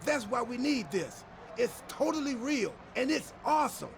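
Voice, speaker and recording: An actor playing a goofy, over-excited wrestler in a video game cutscene, boastful and rambling about his faction. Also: very high-pitched, moderate pace, full character voice. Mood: manic, excited. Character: hyped, goofy male wrestler, fanatically loyal to his faction. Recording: clearly noisy background.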